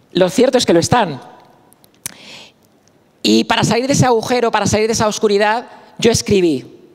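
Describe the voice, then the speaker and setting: Soft-spoken man in a reverberant hall. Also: high voice. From Spain. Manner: slow, reflective, with pauses and restarts. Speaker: guest speaker. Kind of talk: personal talk at a conference. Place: conference hall.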